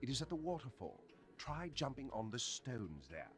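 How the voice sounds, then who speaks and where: A man's voice: mid-range voice, huge pitch swings, normal speed, soft background music. An actor playing a friendly male dragon who gives advice in a cartoon fantasy video game. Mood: confiding.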